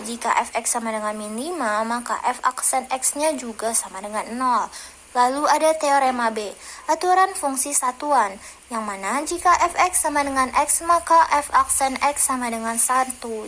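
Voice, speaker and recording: A woman's voice: high voice, slight background noise. Young woman reading math steps. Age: young adult. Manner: steady, step-by-step reading of formulas. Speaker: university student presenting.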